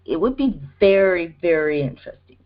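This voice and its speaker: A woman's voice: on the low side, lively intonation, moderate pace. A woman speaking casually and a little hesitantly in a group phone discussion. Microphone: phone conference call. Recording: dull lo-fi conference call audio.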